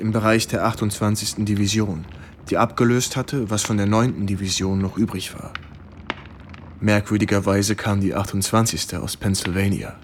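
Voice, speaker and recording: An actor playing a sober American army lieutenant in a radio drama. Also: male voice, fairly low pitch, a little hiss or hum, close to an everyday voice.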